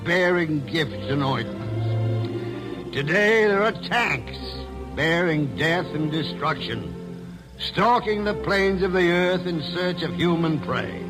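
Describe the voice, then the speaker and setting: A man narrates in a solemn, oratorical 1940s radio style. His voice is high for a man and slowly paced, with music playing clearly behind it. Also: animated, low-quality recording. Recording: vintage 1940s radio broadcast.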